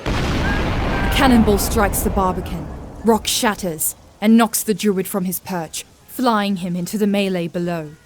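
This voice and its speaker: A woman's voice: mid-range voice, measured pace, slight background noise. A woman narrating a scripted fantasy audio drama in a grave, vivid storytelling manner.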